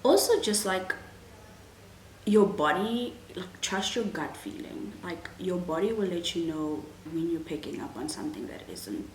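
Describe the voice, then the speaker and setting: Slow-talking young woman. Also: lowish voice, lively intonation. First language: Afrikaans. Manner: chatty, frank, many "like"s and "um"s. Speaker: vlogger answering viewer questions. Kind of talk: casual Q&A chat. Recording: echoey room, faint background hum.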